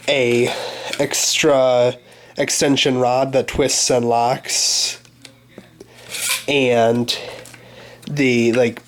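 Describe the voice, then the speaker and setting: A man with a cold, sounding congested, talking through an unboxing at home in a halting, unscripted way with many pauses and fillers. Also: medium pitch. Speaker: vacuum hobbyist doing an unboxing.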